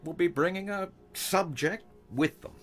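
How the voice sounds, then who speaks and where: A man playing a smoothly bureaucratic, unflappable boss in an audio drama, measured and matter-of-fact. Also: high voice. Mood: calm, matter-of-fact. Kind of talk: noir audio drama acting. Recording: music bed under voice.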